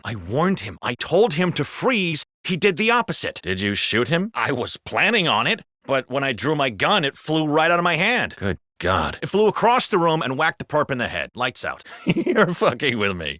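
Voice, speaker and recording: An actor playing a jaded, foul-mouthed, sardonic police officer in a video game. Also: male voice, mid-range voice, bright, forceful tone, no echo, studio quality. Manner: gossipy, sardonic, swearing. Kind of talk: scripted video game voice acting.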